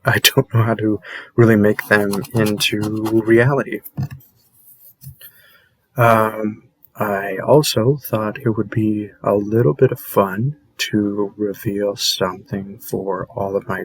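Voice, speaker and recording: A man who runs a channel, chatting informally and a little haltingly to his viewers in a casual outro. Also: lowish voice, vocal fry, fairly bright tone, low-quality recording. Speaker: channel creator thanking viewers.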